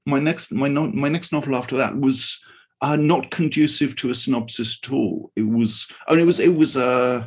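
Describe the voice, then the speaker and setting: Male podcast co-host, mid-pitched with a husky edge, talking informally and repeating words as he goes. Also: moderate pace. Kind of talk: casual chat.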